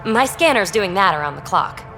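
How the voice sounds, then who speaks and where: A woman playing an excitable, informal, geeky young scientist in a video game. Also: mid-range voice, normal speed, soft background music. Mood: eager. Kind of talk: video game dialogue acting.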